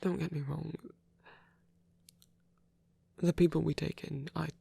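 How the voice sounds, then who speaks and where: A man performing a soft ASMR roleplay as a lonely, gentle old vampire priest, speaking haltingly and tenderly in formal words, breathy and often near a whisper. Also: a little high, level tone, no room sound, heavily acted. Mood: wistful, tender.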